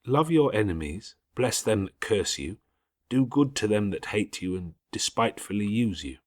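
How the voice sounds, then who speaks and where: A man voicing a calm, pious, forgiving schoolgirl in an audiobook, speaking in measured, earnest, formal Victorian phrases. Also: lowish voice. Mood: earnest, admonishing. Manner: measured, gently preaching, formal Victorian diction. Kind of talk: audiobook character acting.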